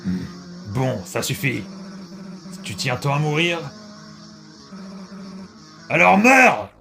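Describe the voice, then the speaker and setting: A man voicing a gruff, hot-tempered yet wounded teenage monster girl in dramatic French comic-dub game dialogue, brusque and menacing. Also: medium pitch, sing-song, slow pace, music in the background, exaggerated voice. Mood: taunting, angry.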